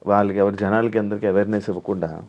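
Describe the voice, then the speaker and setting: A man with a fairly low voice conducts a casual interview. He keeps his questions brief and follows along with short acknowledgements. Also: fairly even intonation, racing, dull audio.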